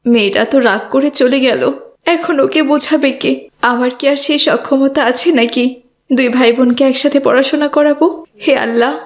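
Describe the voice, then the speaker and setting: Woman playing a careworn mother, highish voice. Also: moderate pace, close to an everyday voice. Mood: worried. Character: poor, careworn widowed mother, firm but loving. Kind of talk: cartoon voice acting.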